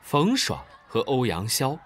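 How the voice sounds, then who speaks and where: Expressive male storyteller. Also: mid-range voice, unhurried, a little hiss or hum. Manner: calm, storytelling. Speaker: narrator. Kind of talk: scripted storybook narration.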